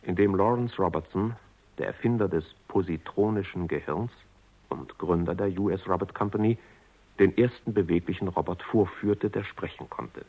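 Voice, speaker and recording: A man narrates a radio play in a measured, factual way. His voice is deep and husky. The old broadcast sound is narrow and boxy. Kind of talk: scripted radio drama narration. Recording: narrow-band vintage radio recording.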